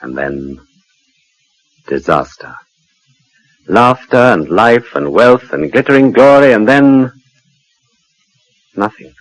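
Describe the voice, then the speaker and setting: An actor playing a grave, brooding storyteller in a 1940s radio suspense drama, speaking portentously with dramatic pauses. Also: male voice, mid-range voice, sing-song, dry recording, low-quality recording. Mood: ominous. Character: solemn, brooding male storyteller of doom. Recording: old 1940s radio recording.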